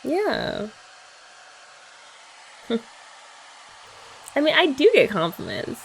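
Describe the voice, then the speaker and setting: A young woman chats playfully in an ASMR girlfriend roleplay, teasing her listener. Her voice has a gravelly fry and moves lively through her range. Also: high-pitched, drawn-out words, slight background noise, low-quality recording. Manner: playful, teasing, chatty with frequent laughs.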